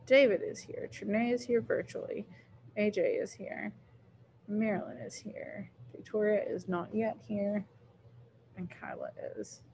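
A woman's voice: mid-range voice, darkish tone, a little hiss or hum, rough recording. A woman in her thirties, a teacher chatting casually with students on a video call, musing aloud and laughing easily. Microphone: laptop webcam, video call.

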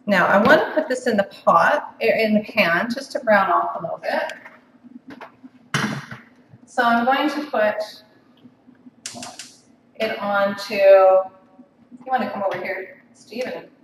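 A woman's voice: mid-range voice, animated, a little slow, pauses often, a bit bright, a little hiss or hum. A woman giving a casual live cooking demonstration, chatty and full of ums and restarts. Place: home office kitchen. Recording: echoey room, from far off.

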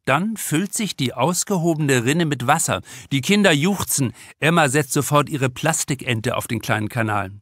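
A man's voice: medium pitch, expressive, normal speed, few pauses, a bit bright, dry recording. Man narrating a novel, dry close sound. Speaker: audiobook narrator, author reading own novel. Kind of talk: scripted narration of a crime novel. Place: dry studio.

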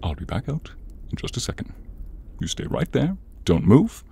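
A man playing a grumpy, exasperated demon who punishes people, curt and gruff, in an ASMR comedy roleplay. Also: mid-range voice, huge pitch swings, moderate pace, warm tone, dry recording, heavily acted. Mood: stern. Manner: gruff, curt, long-suffering.

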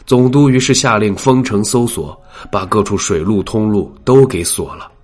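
A man voicing a wary, deferential household steward with a husky voice in an audiobook drama. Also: lowish voice, moderate pace, darkish tone, lightly acted. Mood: grave reporting.

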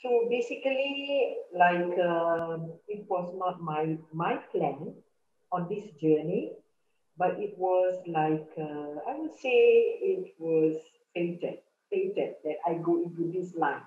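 Slow Malaysian woman over a call. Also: fairly low pitch, lively intonation, a little mellow. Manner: slow and reflective, many fillers. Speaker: guest. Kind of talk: interview answers over video call. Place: room with a leather sofa. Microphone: video call. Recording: echoey, phone-like video call audio with faint hiss.

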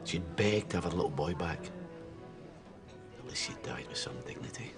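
Man acting exasperated British dad, widely swinging pitch. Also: expressive, normal speed, over music, close to an everyday voice. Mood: bitter. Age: middle-aged. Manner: scolding and pleading. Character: stern, grieving, exasperated widowed father of teenagers. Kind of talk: naturalistic screen drama acting.

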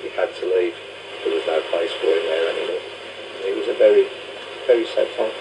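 A man's voice: low voice, fairly even intonation, a little fast. A man recounting something in radio speech, heard through a homemade radio receiver. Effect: received over a homemade radio. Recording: noisy homemade radio reception, distant.

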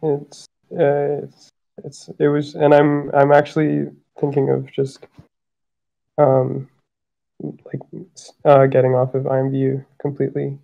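A man speaking through a voice changer that makes him sound high and odd, talking haltingly and apologetically on a voice-chat call. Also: monotone, vocal fry, narrow, tinny audio. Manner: hesitant, apologetic, halting with many fillers. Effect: high-pitched voice changer. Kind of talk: casual voice call.

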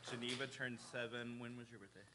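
A man gently introducing children and asking them their birthdays. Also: mid-range voice, fairly even intonation, a little fast. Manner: gentle, prompting. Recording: distant hall with crowd noise.

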